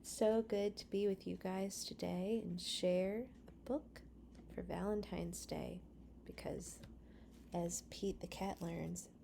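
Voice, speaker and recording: A woman reading a picture book aloud to young children as a storytime host, with an expressive delivery that addresses them directly. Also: on the low side, takes it slowly, gravelly, a little hiss or hum.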